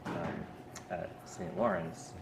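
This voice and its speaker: A man interviewing people in an art gallery, relaxed and hesitant with many fillers. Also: takes it slowly. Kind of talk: casual interview talk. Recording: echoey gallery with background noise.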